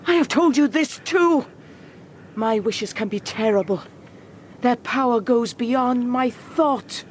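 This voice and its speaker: An actress playing a fierce, grieving old witch in a period audio drama, speaking with slow, tormented intensity. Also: fairly high pitch, darkish tone, slight background noise. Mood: grim, menacing.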